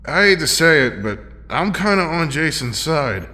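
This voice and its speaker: An actor playing a bitter, vengeful superhero teammate in an audio drama. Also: male voice, a little high, sing-song, moderate pace, bright, forceful tone. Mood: grieving, resolute. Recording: reverberant, music behind.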